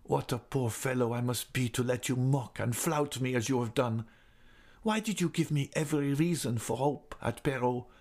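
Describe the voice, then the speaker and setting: A man's voice: on the high side, measured pace, a little mellow, pitched above the performer's own voice. A man voicing a passionate, jealous young nobleman in an audiobook, bitterly accusing and melodramatic. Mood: bitter, anguished. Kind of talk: dramatic audiobook character reading.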